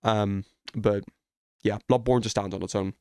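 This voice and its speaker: A man's voice: fairly low pitch, steady tone, moderate pace, darkish tone, clear, resonant tone, no echo, clean studio sound. A man chatting casually as a game streamer, rambling in a self-effacing, sweary way. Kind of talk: casual chat while streaming gameplay.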